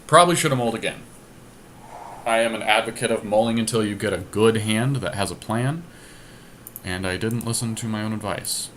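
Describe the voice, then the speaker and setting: A man's voice: on the low side, brisk, fairly bright tone. A man giving casual commentary as he plays an online card game, thinking aloud. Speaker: podcast host playing an online card game. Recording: noticeable background noise, amateur screen capture.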